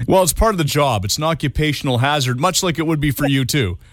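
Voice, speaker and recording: A man's voice: a little high, quick pace, dry recording. A man, co-hosting a radio talk show in easygoing chat full of fillers and restarts. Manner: relaxed, hesitant, many fillers and restarts. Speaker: radio co-host. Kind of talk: casual on-air chat.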